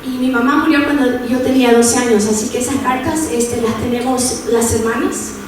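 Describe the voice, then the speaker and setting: A woman's voice: a little high, moderate pace, recorded from a distance. A woman from El Salvador, raised in San Francisco, leading a workshop in a conversational way, with many fillers and tag questions. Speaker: workshop presenter. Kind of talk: informal academic workshop talk. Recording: echoey room picked up from afar with noise.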